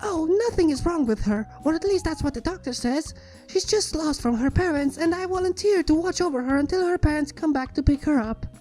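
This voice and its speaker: Woman voicing a very high, bubbly cartoon pony girl. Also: animated, fairly quick, dark tone. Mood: cheerful. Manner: playful, breezy, reassuring. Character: bubbly, cheerful, silly young female cartoon pony. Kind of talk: fan fiction character reading. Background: background music.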